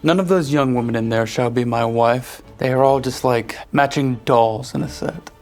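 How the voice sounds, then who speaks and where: Audio drama lead: an actor as a cold, sarcastic duke, rough fry in the voice, faint music behind. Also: male voice, medium pitch, moderate pace, a little mellow, natural voice. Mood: dismissive. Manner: dry, cutting and dismissive. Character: cold, sardonic male duke, aloof and blunt.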